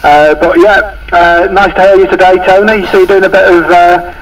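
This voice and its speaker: A man's voice: high voice, fairly even intonation, normal speed. A man chatting casually as a caller over a two-way radio. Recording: distant, noisy two-way radio transmission.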